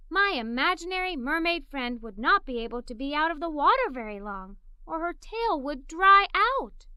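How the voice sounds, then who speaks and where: A woman's voice: high voice, dry recording, clean studio sound. A woman reading a children's story aloud expressively, in the first person as a curious, imaginative young girl. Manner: expressive, animated, with giggles. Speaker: storybook reader.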